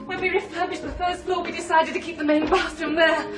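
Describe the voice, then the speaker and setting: High-voiced, brittle wife. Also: female voice, little pitch movement, fairly quick, music in the background. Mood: anxious. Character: loyal, defensive young wife, brittle society hostess. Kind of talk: 1990s radio drama acting.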